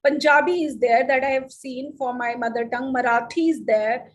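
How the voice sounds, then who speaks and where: High-voiced Indian woman teaching teachers. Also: normal speed. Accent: Indian English. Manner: lively, addresses the audience with questions. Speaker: teacher trainer. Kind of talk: live teacher workshop.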